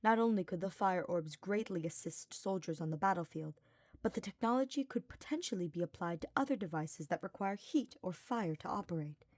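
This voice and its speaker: A woman's voice: on the low side, expressive, a little fast, a little mellow, no room sound, clean studio sound. A woman reading a scripted story aloud as its narrator. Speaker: fan fiction podfic narrator.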